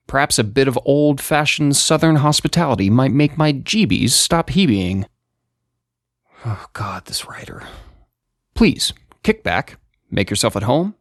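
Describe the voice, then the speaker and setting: An actor playing an arch, sardonic and squeamish host introducing tales in a horror audio drama with mock graciousness. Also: male voice, mid-range voice, creaky, dry recording, clean studio sound, heavily acted. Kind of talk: theatrical scripted horror-show introduction.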